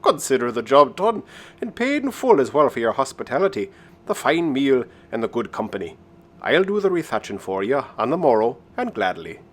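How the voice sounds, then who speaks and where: A man's voice: mid-range voice, sing-song, moderate pace. A man voicing a humble, good-hearted Irish village thatcher in a storybook, with an Irish accent and an earnest, hearty manner. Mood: hearty, generous. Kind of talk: storybook character voice.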